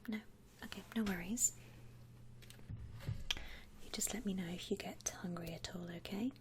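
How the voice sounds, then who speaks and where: A woman playing a friendly, attentive saleswoman in a whispered ASMR roleplay, speaking softly in soothing customer-service patter with endearments. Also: mid-range voice, normal speed, background noise, close to an everyday voice. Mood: polite, attentive. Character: friendly attentive saleswoman at a vampire coffin shop. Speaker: ASMR performer.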